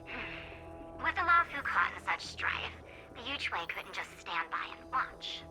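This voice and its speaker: Poised, sly strategist general. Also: female voice, medium pitch, sing-song, pushed and bright, full character voice, rough recording. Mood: poised, formal. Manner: measured, theatrical, teasing. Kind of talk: scripted video game acting. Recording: game music under the dialogue.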